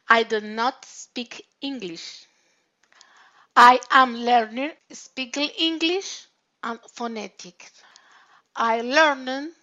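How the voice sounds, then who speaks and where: Woman in her fifties, bright voice. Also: a little high, highs cut off. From Argentina. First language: Spanish. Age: 50s. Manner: slow, deliberate simple learner English. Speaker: adult student.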